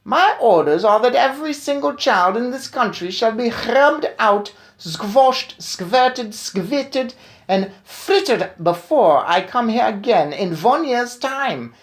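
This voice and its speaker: A furious old witch queen screeches her orders, as a woman puts her on, her voice jumping far above a normal speaking pitch. She stretches out every word into slow, loud, hateful yells. The sound is now and then a touch distant, as if she has turned from the mic. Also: sing-song. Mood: shrieking rage. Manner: shrieking, drawn-out yelling.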